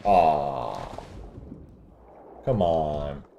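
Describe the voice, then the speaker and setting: A man's voice: a bass voice, big pitch swings, very slow, creaky, mellow, highs cut off, slight background noise. A man giving casual commentary while he plays through a video game. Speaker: gaming streamer. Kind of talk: casual game playthrough commentary, reading dialogue aloud.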